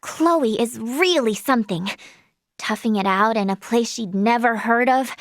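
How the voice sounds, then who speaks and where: A woman voicing an earnest, kind-hearted young female healer in a video game, with a polite, softly hesitant manner. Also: on the high side, no room sound, clean studio sound, close to an everyday voice. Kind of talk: scripted game character dialogue.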